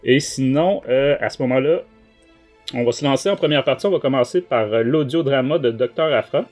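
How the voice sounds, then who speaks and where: A man's voice: medium pitch, a little fast, darkish tone, faint music behind. A man with a Quebec French accent hosting a casual book talk podcast, speaking in hesitant, broken-up phrases. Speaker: podcast host.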